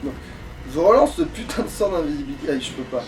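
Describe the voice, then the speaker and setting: A man's voice: a little high, animated, fast, a little mellow, far from the mic, soft background music, low-quality recording. A man chatting casually as he plays a game, thinking aloud in slang with some swearing. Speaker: gamer playing. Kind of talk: casual Let's Play chat.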